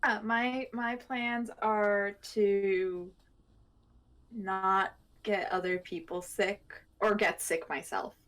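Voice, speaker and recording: A woman on a casual podcast panel, speaking at a very unhurried pace. Her voice sits at a medium pitch and her manner is dry and understated. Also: steady tone, a bit bright.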